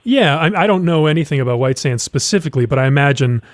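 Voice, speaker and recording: A man's voice: on the high side, lively intonation, quick pace, dry recording. A man hosting a podcast interview, asking conversational questions in a tentative, carefully qualified way.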